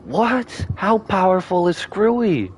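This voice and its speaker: A man streaming his reaction to a game, talking casually with excitable exclamations. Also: high voice, slow pace, a little hiss or hum. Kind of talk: casual livestream reaction commentary.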